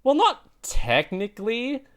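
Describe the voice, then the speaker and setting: A woman's voice: a little high, wildly swooping pitch, slow, exaggerated voice. A woman voicing a blunt, irritable, grumpy female pony leader in a parody cartoon. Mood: curt, exasperated.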